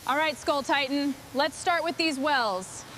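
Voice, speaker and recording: Female actor as truck restorer, higher voice, gritty and determined, short hands-on remarks over loud vehicle noise. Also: a little slow. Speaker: mechanic. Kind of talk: scripted work chatter. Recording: noisy, with vehicle and machinery sounds.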